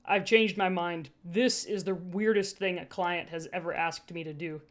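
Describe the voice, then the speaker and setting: A woman voicing a reserved security android in an audiobook, speaking in a dry, flat, understated manner. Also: lowish voice, moderate pace, close to an everyday voice. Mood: dry, unimpressed.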